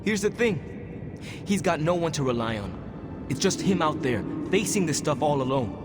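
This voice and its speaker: A man's voice: fairly high pitch, expressive, normal speed, natural voice. A young man playing a brash, earnest superhero in a video game, talking in a casual, slangy way. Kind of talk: conversational video game acting. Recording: voice under clear background music.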